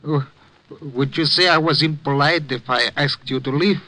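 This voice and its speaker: A man's voice: a little high, moderate pace, pushed and bright. An actor playing a weary, courtly old immigrant man with formal, mournful manners in a 1950s radio drama. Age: older.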